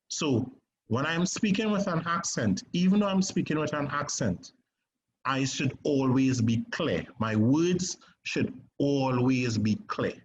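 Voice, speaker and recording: A man with a Trinidadian accent leads a stage acting lesson in a lively, animated way, checking in with "right?" as he goes. His voice sits at a middling pitch. The recording is a little dull, with a faint hiss behind it. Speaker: acting teacher.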